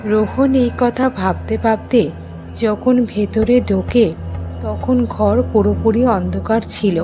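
A woman's voice: medium pitch, steady tone, slow, over music. A woman narrating a scripted horror story as a storyteller.

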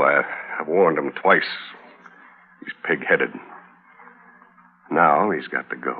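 Very deep, gravelly western cattle boss. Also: male voice, full character voice. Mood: frustrated, menacing. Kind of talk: 1950s radio drama acting. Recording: narrow, muffled old radio broadcast.

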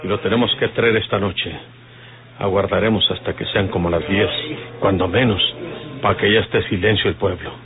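An actor in an old radio drama playing a resolute, plain-spoken rustic outlaw leader. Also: male voice, lowish voice, steady tone, normal speed, natural voice. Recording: tinny old radio recording with music.

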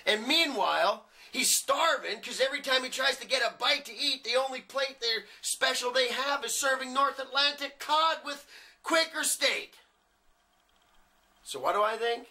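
A man's voice: extremely high pitch, moderate pace, sharp tone, voice pushed up, heavily acted. A man playing a cocky, cynical young working-class genius, rambling sardonically through a dramatic film monologue. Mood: bitter, sarcastic. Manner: rambling, sardonic, building chain of argument.

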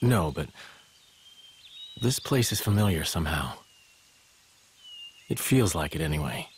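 An actor playing a stoic, terse warrior with a guarded manner in a video game. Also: male voice, a bass voice, animated, unhurried, a little hiss or hum. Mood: guarded, subdued. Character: stoic, reserved warrior man without memories. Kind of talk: video game cutscene acting.

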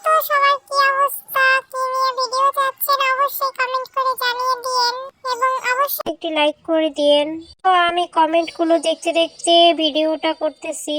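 A woman chatting casually to viewers through a pitch-shifting voice changer. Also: moderate pace, pushed and bright, soft background music. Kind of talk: casual vlog-style greeting.

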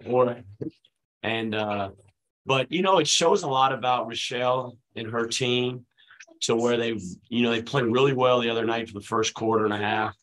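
A man in his forties, a head basketball coach, answering questions at a postgame press conference in a relaxed, conversational way with many fillers, speaking into a table microphone. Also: mid-range voice, moderate pace, rough recording. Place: press room at a team table, his children beside him.

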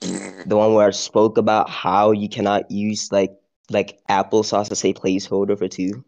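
A man's voice: fairly low pitch, level tone, normal speed, gravelly, darkish tone, dry recording. A man arguing insistently in an online voice-chat debate, blunt and insulting. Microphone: computer mic on voice call. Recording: dull lo-fi voice chat mic.

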